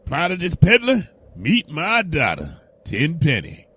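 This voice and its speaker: A man's voice: fairly high pitch, wildly swooping pitch, unhurried, sharp tone, slightly rough, heavily acted. A man voicing a protective, folksy pony father in a wasteland audio drama, with a drawling Southern US accent. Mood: warm, jovial.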